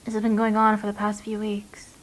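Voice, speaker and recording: An actress playing an anxious, guarded young mother confiding haltingly and emotionally into an audio diary in a drama. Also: mid-range voice, monotone, lightly acted. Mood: quiet, worried.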